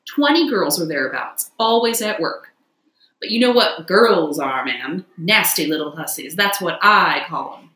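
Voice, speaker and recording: A woman's voice: lowish voice, expressive, a little slow, sharp tone. Woman voicing boastful toad posing as humble washerwoman, echoey. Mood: airy boasting. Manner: wheedling, airy, chatty and self-important.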